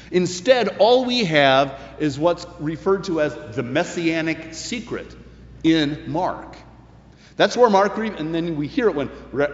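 A man preaching a sermon as a pastor, phrasing his points deliberately and emphatically with some colloquial turns. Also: a little high. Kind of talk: sermon with scripture commentary. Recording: echoey hall, long reverb, dull sound.

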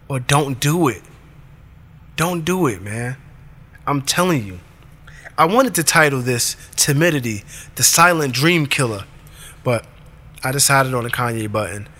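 Raspy dude hyping up creatives, bright edgy voice, preachy and emphatic. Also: male voice, a little high. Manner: preachy, emphatic, punchy short commands. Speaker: podcast host. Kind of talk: motivational monologue.

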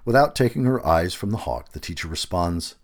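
A man reading a written essay aloud as scripted narration, in an emphatic, expressive manner. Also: sits low, fairly quick. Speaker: audio narrator reading a feature article.

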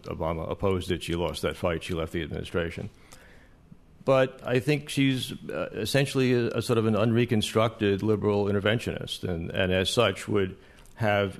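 A man giving measured, analytical commentary as an academic panelist, speaking off the cuff in long qualified sentences. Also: sits low. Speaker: panelist, international relations professor. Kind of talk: extemporaneous political analysis on a panel. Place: live event space before an audience.

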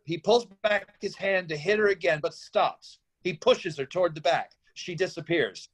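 A man's voice: sits high, low-quality recording. A man narrating a screenplay, reading out stage directions as scripted narration.

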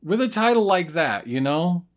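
A man's voice: a little high, big pitch swings, normal speed. A man hosting a podcast in casual chat, with a wry, rambling and somewhat hesitant manner. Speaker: podcast host.

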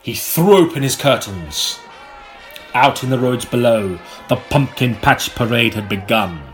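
Highly animated male audiobook narrator. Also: soft background music. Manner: melodramatic, dramatic pauses, ponderous delivery. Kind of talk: theatrical scripted narration.

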